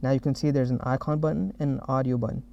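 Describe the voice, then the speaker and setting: Man, mellow mid-pitched voice, developer walking through a software demo, slightly muffled lo-fi recording. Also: steady tone, quick pace, gravelly, a little hiss or hum. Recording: dull, muffled lo-fi audio.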